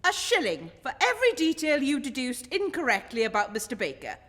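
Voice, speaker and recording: A woman acts an earnest detective's companion in a radio play. The voice is high, articulate and lively in its swoops, with hall-like reverb around it. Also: fairly quick, full character voice. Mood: eager, sceptical. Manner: expressive, articulate storytelling. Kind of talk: radio play acting and scripted narration.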